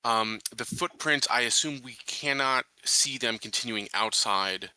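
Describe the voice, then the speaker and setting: Guy playing a tabletop game, super bright edgy voice but low, casual, really dry sound. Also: measured pace, clear, resonant tone, no room sound, low-quality recording. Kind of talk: casual questions during a game.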